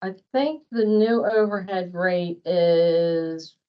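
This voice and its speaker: A woman's voice: on the low side, slow, dull audio. A woman giving an informal spoken explanation as presenter at a staff training.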